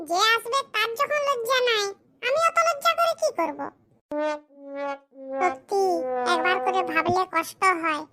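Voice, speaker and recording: A performer voicing a crude, self-pitying village boy who grumbles in rustic slang in a comedy cartoon, with a pitched-up voice. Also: pushed and bright. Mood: defiant. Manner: muttering complaints in rustic slang.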